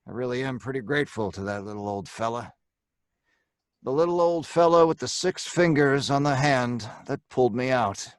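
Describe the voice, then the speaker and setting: A man's voice: mid-range voice, lively intonation, normal speed, no echo, studio quality, lightly acted. A man playing a young condemned crook, narrating his own story with wry, sardonic delivery in an old-time radio drama. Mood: wry, resigned.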